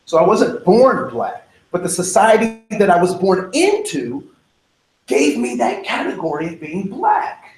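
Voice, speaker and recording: A man's voice: high-pitched, huge pitch swings, measured pace. A man leading a diversity training workshop, talking casually and wandering off on tangents, often checking in with "right?". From United States. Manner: casual, digressive, frequent "right?" and "um". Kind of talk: conversational workshop lecture. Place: training room. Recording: echoey training room, faint noise.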